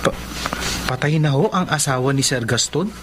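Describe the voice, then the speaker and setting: An actor playing a timid, bewildered young houseboy in a Filipino radio horror drama, respectful and stammering. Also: male voice, mid-range voice, expressive, moderate pace, background noise, lightly acted. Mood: puzzled, uneasy.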